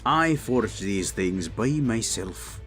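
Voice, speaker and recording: A man playing a gruff, hearty dwarf king and armorer in a fantasy audio drama, blunt, proud and commanding. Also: on the low side, lively intonation, takes it slowly, a bit bright, faint music behind. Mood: proud, matter-of-fact. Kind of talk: fantasy audio roleplay acting.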